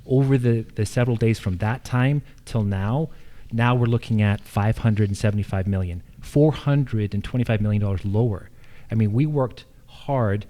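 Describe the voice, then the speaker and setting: A man's voice: lowish voice, normal speed, dark tone. A man speaking as an incumbent official at a candidate forum, explaining in a plain-spoken way. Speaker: incumbent county assessor and candidate. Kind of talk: forum answers. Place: live public forum on a college campus.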